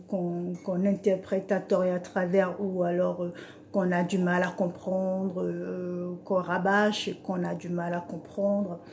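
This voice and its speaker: Slow, soft-spoken woman preacher. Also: on the low side, slight background noise. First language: an African language she names as her mother tongue. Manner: slow, hesitant speech with frequent "euh" fillers. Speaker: preacher hosting a Bible verse series. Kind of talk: informal online devotional talk.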